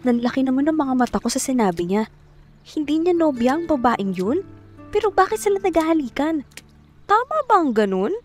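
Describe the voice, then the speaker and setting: A woman's voice: high-pitched, big pitch swings, a little fast, faint music behind. A young woman playing a shy, hardworking farm girl who narrates her own story in a radio drama, polite and earnest. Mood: shocked, puzzled. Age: young adult. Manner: polite, hesitant when addressing others. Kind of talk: first-person radio drama narration and dialogue.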